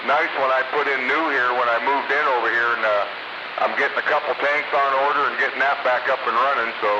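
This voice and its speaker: A man's voice: medium pitch, steady tone, brisk. An American man chatting casually over CB radio in a folksy, colloquial way. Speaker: CB radio operator. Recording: muffled, very hissy CB radio.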